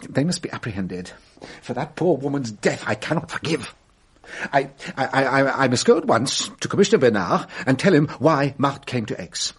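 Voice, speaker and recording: An actor playing a brisk, shrewd French police inspector in a radio drama, theatrical and commanding yet kindly. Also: male voice, mid-range voice, big pitch swings, husky. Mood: indignant. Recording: radio drama with faint background noise.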